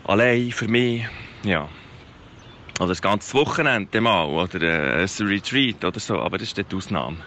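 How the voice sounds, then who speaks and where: A man's voice: lowish voice, expressive, moderate pace, sharp tone. A man with a Swiss German accent recording a casual, reflective voice message while walking outdoors. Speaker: parent contributing a voice message. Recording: outdoor noise, faint traffic ambience.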